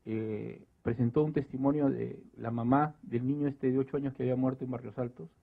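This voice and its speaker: A man with a Peruvian accent offering measured, reasoned argument at a public debate. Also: medium pitch, steady tone, vocal fry. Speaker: debate panelist. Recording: thin phone-like sound.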